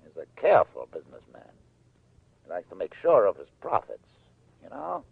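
An actor playing a sleepy, guarded nightclub owner in an old radio crime drama, slow and understated. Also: male voice, deep voice, huge pitch swings, gravelly, slightly rough, no echo, full character voice. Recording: tinny vintage radio broadcast.

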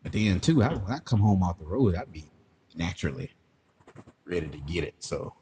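A man's voice: sits low, sing-song, moderate pace, dark tone, a little hiss or hum. A man of about forty, chatting casually as a podcast co-host, laid-back and laughing often. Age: 40s. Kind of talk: casual chat.

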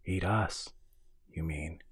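Man acting a tense, very husky young man. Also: deep voice, slow pace, no echo, full character voice. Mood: uneasy. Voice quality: hushed, hissing, husky. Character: anxious, determined young man in peril.

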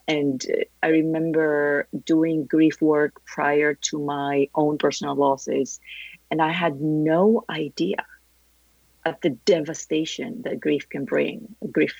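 Greek woman, gravelly husky voice, laughs a lot, trips over her words, kinda muffled. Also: low-pitched, slow, dry recording. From Greece. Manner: animated, laughs often, many restarts. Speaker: guest, grief educator. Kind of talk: interview answers.

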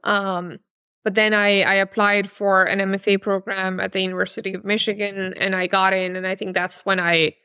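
Woman, thirties, from Pakistan, novelist guest, thoughtful, filler-heavy, smooth crisp voice. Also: medium pitch, level tone, moderate pace, bright, forceful tone, no room sound, clean studio sound. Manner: thoughtful, many fillers and restarts. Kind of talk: interview answers.

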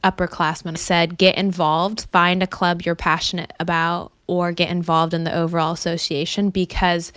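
Smooth encouraging woman interviewer. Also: lowish voice, flat delivery, a bit bright, dry recording. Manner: friendly, encouraging, quick to laugh.

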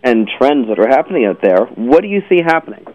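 A male show host on slightly muffled, lo-fi audio, chatting casually with a guest. His pitch moves up and down a lot, and his phrasing is hesitant. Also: big pitch swings, quick pace. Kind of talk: casual interview.

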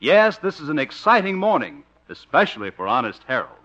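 A man's voice: fairly high pitch, big pitch swings, a little fast, bright, forceful tone, full character voice. A man as a polished announcer narrating the scene for a 1950s radio comedy. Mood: upbeat, polished. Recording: dull old radio recording, music.

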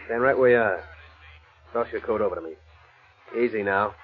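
An actor playing a tough, impatient gangster in 1940s American radio style, giving clipped orders and threats. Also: male voice, fairly low pitch, normal speed, close to an everyday voice. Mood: menacing. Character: menacing, impatient male gangster with a gun. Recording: muffled narrow-band vintage radio recording.

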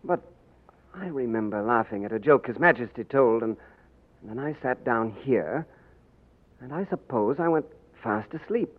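An actor playing an easygoing young English gentleman drawn into posing as a king, light and self-mocking, in 1940s radio acting. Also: medium pitch, animated, normal speed, pauses often, lightly acted. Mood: amused. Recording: tinny old radio broadcast with faint hiss.

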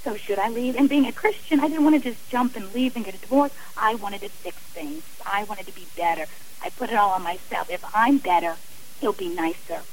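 A woman's voice: medium pitch, expressive, a little fast, low-quality recording. A woman speaking as an interview guest on radio, earnest and emphatic, explaining with examples and repeated phrasing. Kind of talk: radio interview, personal and advisory talk.

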